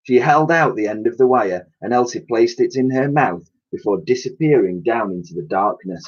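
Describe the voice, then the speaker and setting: Male storybook reader, teacherly and expressive, husky mid-range voice, slightly muffled lo-fi sound. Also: medium pitch, moderate pace. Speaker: primary school teacher reading aloud. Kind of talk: expressive children's storybook narration.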